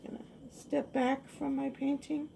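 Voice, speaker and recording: A woman with a hoarse, froggy voice chatting softly as she pours and tilts acrylic paint, recorded on a phone mounted above her table. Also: high voice, flat delivery, normal speed, a little hiss or hum. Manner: soft-spoken, chatty, muttering while working. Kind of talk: casual narration while painting. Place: indoor craft table. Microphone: phone held high above the table.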